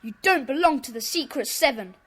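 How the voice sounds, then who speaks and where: A bossy schoolboy in a children's radio play, high-voiced and commanding. The delivery swings up and down with brisk indignation as he runs his secret club. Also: lively intonation, a bit bright. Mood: indignant. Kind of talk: children's audio drama acting.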